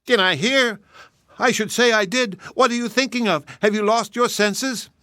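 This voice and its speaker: Man doing a shrill scolding hedgehog wife, very high falsetto, lively, bright. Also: normal speed, no echo, studio quality. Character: fussy, sharp-tongued hedgehog wife.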